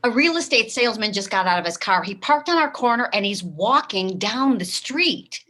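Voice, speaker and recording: A woman playing an excitable, gossip-hungry suburban housewife in a staged play reading. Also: fairly high pitch, normal speed. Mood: excited, gossipy. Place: video call.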